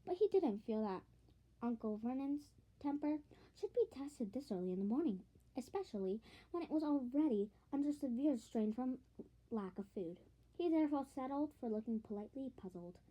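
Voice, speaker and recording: Woman reading a novel out loud, high mellow voice, expressive, trips on words now and then. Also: normal speed, rough recording. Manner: expressive, occasionally stumbles and repeats phrases. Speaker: audiobook reader. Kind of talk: scripted storybook narration, read aloud.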